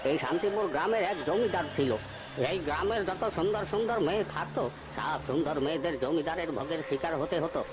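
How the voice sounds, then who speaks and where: Man acting a commanding old village fisherman, higher-pitched. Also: fast talker, close to an everyday voice. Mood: grave storytelling. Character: gruff, protective old village fisherman, superstitious storyteller. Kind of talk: Bengali animated ghost story voice acting.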